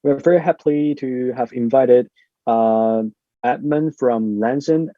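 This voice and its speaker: A man's voice: medium pitch, slow pace, no room sound. A man hosting an online panel, introducing guests in a casual way with many fillers. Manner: casual, filler-heavy, upbeat. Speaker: host. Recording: dull lo-fi sound.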